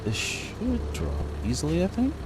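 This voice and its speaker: A man's voice: a little high, wildly swooping pitch, a little slow, background noise. A young man chatting casually while streaming a farming game, rambling with lots of fillers and self-corrections. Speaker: gaming streamer.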